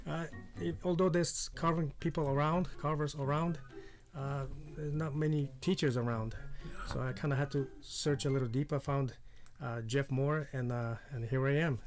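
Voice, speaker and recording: A man's voice: medium pitch, fairly even intonation, normal speed, hardly any pauses, soft background music. A man from New Hampshire with a mix of Polish and Boston accent, answering a teacher's questions in an easygoing, hesitant way with many fillers. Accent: Polish mixed with Boston. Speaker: carving student. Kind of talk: casual interview answers.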